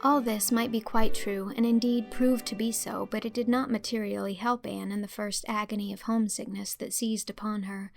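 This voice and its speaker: A woman reading a literary story as an audiobook narrator, with expressive, clearly phrased storytelling. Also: mid-range voice, moderate pace, few pauses, faint music behind.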